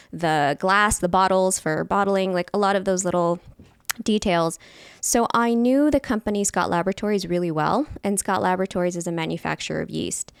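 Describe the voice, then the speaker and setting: Candid, chatty woman, smooth voice. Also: medium pitch, no echo, clean studio sound. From California, USA. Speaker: guest, fermentation specialist. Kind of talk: casual interview chat.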